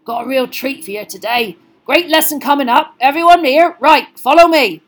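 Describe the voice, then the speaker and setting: A woman voicing a huge, gruff, good-hearted male gamekeeper who calls out loudly. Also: high-pitched, normal speed, voice pushed up. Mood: hearty. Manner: loud, hearty calling out.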